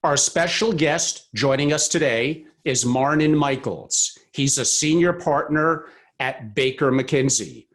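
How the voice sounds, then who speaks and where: A man's voice: mid-range voice, slow pace, fairly bright tone. Formal male podcast host. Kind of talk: scripted intro then interview questions.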